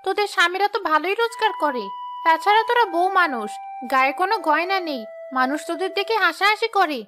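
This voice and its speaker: Nosy cartoon auntie, super high voice, naggy and gossipy, music behind her. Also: expressive, quick pace. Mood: nagging. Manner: nagging, busybody. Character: meddling, gossipy village auntie, easily offended. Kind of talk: cartoon dialogue.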